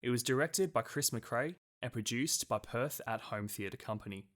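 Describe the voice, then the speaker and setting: A man serves as announcer for a radio play. He reads scripted credits in a medium-pitched voice. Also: normal speed, a bit bright.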